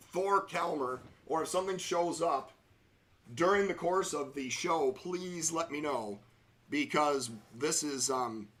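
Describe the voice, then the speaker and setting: A middle-aged man hosting a live train-watching stream, chatting casually in railroad jargon, his voice slightly hoarse from a cold. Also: a little high, fairly bright tone. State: slightly hoarse, under the weather.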